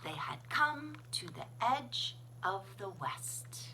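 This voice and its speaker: A woman reading a children's picture book aloud as a librarian, with expressive, sing-song, deliberate phrasing. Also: mid-range voice, slight background noise, rough recording. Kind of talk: children's storybook read-aloud.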